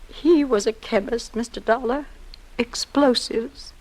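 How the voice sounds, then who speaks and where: An actress playing a prim, sanctimonious elderly wife in an old-time radio drama, speaking in a formal, solemn, pious way. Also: female voice, on the high side, unhurried, darkish tone, low-quality recording. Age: older.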